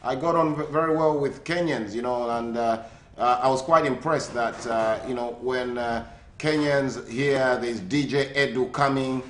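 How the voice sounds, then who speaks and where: A man's voice: mid-range voice, steady tone, normal speed, a bit bright, roomy sound, slight background noise. A man from Zambia hosting a sports radio show, asking friendly questions with many hesitations and fillers. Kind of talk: radio phone interview. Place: living in the UK.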